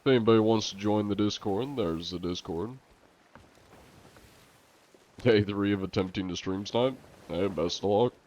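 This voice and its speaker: A man chatting casually to viewers on a live game stream, congested and coughing now and then, chatty and quick to laugh. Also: fairly low pitch, darkish tone, highs cut off.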